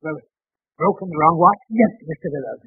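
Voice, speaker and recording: A blustering, cantankerous businessman in 1940s radio comedy. His high voice swings widely as he argues brusquely, heard through a thin, tinny vintage broadcast. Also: animated, normal speed, husky, heavily acted. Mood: indignant, blustering. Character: blustering, cantankerous boss and indignant shopkeeper. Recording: tinny, band-limited 1940s radio recording.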